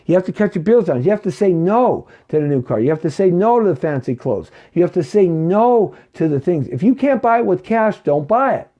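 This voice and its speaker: Preachy fifties man, lively voice. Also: high voice, a little fast, few pauses, muffled recording. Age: 50s. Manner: preachy, repeats himself, keeps asking "okay?" and "right?". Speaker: talk show host. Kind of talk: live money talk show.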